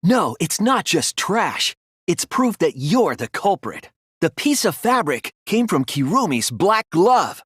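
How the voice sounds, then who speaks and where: A man voicing an earnest, determined young student detective in a dramatic game dub, deliberate and analytical with a slightly husky voice. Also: high-pitched, very animated, a bit bright, dry recording, clean studio sound, full character voice. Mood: firm, accusing. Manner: deliberate, earnest, explanatory delivery with dramatic emphasis. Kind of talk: dramatic English game dub, deductive monologue.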